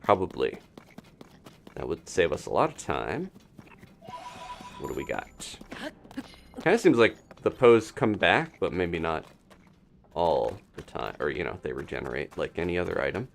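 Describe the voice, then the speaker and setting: A man's voice: low-pitched, sing-song, unhurried, gravelly, long pauses, slight background noise. A man doing casual live video game commentary, thinking aloud in a playful, rambling, muttering way.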